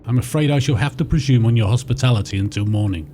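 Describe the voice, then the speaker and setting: An actor playing a lost gentleman traveller in a radio drama, formal, polite and theatrical. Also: medium pitch, fast, natural voice. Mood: polite, uneasy. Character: lost gentleman traveller, well-mannered, excitable sceptic. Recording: clear background noise.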